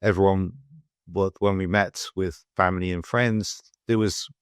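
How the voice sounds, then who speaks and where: A middle-aged British man hosting a podcast interview, soft-spoken and hesitant, with many fillers and restarts. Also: low voice, steady tone, measured pace, smooth, no room sound, clean studio sound. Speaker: podcast host. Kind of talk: casual interview chat.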